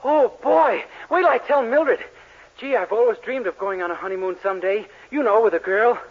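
Naive high-voiced young bachelor. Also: male voice, brisk. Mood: eager. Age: young adult. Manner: innocent, literal-minded. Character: naive, earnest, bashful young bachelor, dim but eager. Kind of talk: 1940s radio sitcom acting. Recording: dull, noisy 1940s radio recording.

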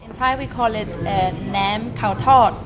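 A woman's voice: a little high, a little slow. A woman giving a conversational, informative explanation with some hesitation. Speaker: restaurant representative.

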